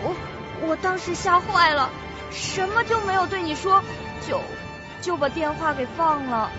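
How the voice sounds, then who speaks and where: An actress playing a frightened, tearful young female employee in a suspense radio drama, halting and pleading. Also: very high voice. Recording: dull audio under loud music.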